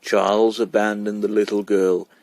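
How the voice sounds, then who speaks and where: A man's voice: fairly low pitch, flat delivery, moderate pace, gravelly, darkish tone, dry recording. A man reading a short story aloud as scripted narration. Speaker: amateur story reader.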